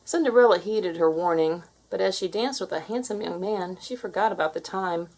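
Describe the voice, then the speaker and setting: Slightly low-voiced woman reading a storybook. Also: fairly quick, darkish tone, poor audio. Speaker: children's storybook narrator. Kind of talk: scripted read-aloud narration.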